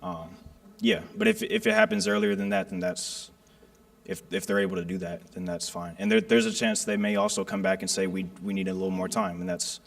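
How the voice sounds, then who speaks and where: A man from county staff answering residents' questions at a public meeting in a school hall, measured and often pausing with "um". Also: low-pitched, wildly swooping pitch, creaky. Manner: measured, with frequent ums. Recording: long hall reverb.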